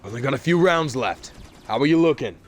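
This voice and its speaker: A man playing an urgent, commanding Marine captain in an audio drama, shouting terse orders. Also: mid-range voice, animated, a little fast, a little hiss or hum, lightly acted. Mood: grim frustrated.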